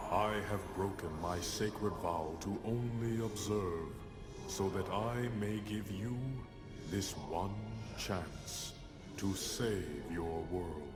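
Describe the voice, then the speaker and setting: A man playing an ancient, godlike cosmic being in a video game, solemn, portentous and oratorical, with halting phrases. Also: sits low. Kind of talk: video game cutscene acting. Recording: echoing voice under loud music.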